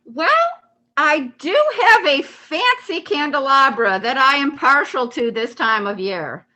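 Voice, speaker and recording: A smug, showy holiday personified on an amateur stage by a woman. The voice is high and bright, boasting slowly and deliberately with lots of swoop. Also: animated, exaggerated voice, rough recording. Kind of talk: amateur reader's theater.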